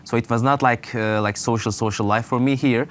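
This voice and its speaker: A man's voice: mid-range voice, fairly even intonation, fairly quick. A man in his twenties with a Turkish accent, answering interview questions in a hesitant way, with many fillers and restarts. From Turkey. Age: 20s. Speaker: guest.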